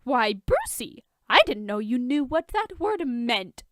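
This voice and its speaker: Story villain: smug billionaire man, high, taunting, drawn out; performed by a woman. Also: sing-song, takes it slowly, fairly bright tone, no room sound, clean studio sound, exaggerated voice. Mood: sneering.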